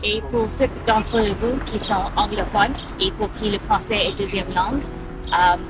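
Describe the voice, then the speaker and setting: A woman's voice: mid-range voice, fairly even intonation, a little slow, distant mic, low-quality recording. A woman giving a spontaneous testimonial, addressing listeners directly. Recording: off-mic voice under clear music.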